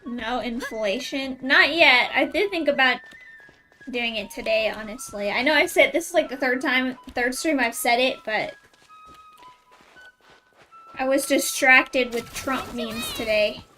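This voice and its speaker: A woman's voice: high voice, a little slow, bright, forceful tone, faint music behind, low-quality recording. A woman game streamer chatting casually and playfully with viewers as she plays, teasing them. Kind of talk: casual live-stream chat over gameplay.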